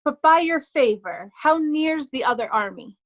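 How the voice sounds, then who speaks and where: A woman playing a loyal young nobleman disguised as a humble poor man, earnest and measured, in readers' theatre Shakespeare. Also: sits high, animated.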